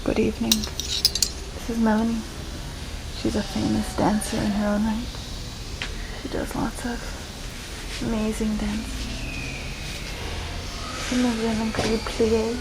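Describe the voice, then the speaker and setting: A woman narrating in a solemn, poetic, deadpan mock-documentary style. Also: on the high side, slow, vocal fry, pauses often, background noise. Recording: loud music behind the voice.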